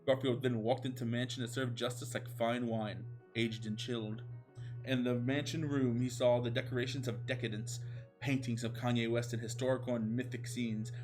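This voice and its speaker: Man narrating comic fan fiction, medium pitch. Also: little pitch movement, moderate pace, darkish tone, soft background music, poor audio. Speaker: host reading fan fiction. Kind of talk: comic dramatic story narration with casual asides.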